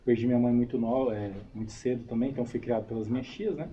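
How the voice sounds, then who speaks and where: Male interview guest, Brazilian accent, mellow tone, casual and chatty, tag questions, muffled sound with faint background noise. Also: mid-range voice, steady tone, fast talker. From São Paulo state, Brazil. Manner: chatty, frequent checking tags. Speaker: interview guest, army reservist and combatant. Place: radio studio. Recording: dull, noisy studio live stream.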